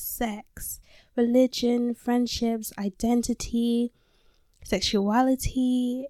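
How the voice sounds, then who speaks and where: A woman's voice: medium pitch, dark tone, no echo, studio quality. A nineteen-year-old woman from London with a slight American tone, chatting candidly and swearing freely on her solo podcast, recorded in a small closet on a handheld mic. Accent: London with some American tone. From London, England. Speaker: podcast host. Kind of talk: casual solo podcast monologue.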